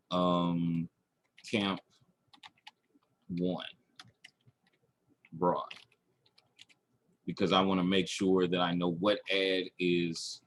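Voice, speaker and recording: A man's voice: on the low side, a bit bright. A man teaching online marketing in a screen-share tutorial, talking through each step aloud and checking in as he goes. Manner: instructional, checking in with "okay" and "all right".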